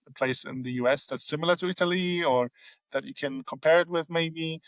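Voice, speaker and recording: A man's voice: on the high side, brisk, dry recording, rough recording. A man hosting a podcast, asking questions casually with many fillers and restarts. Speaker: podcast host. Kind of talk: casual interview talk.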